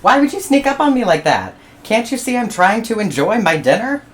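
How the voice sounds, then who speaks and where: A woman with a low voice voicing a testy, blunt platypus that insists on being just a platypus, in a kids' story. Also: sing-song, moderate pace, pushed and bright, a little hiss or hum, exaggerated voice. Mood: fed up. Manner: exasperated, emphatic, raised voice. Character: testy egg-laying platypus, blunt and fed up.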